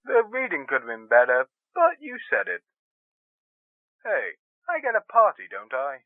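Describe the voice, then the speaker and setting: Radio drama male sweetheart, actor: brash, teasing, very animated delivery, dry studio sound. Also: fairly high pitch, normal speed, dry recording, phone-quality sound. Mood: teasing affection. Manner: bantering, teasing. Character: wry, brash, affectionate male theatre man and sweetheart. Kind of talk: dramatic radio play acting.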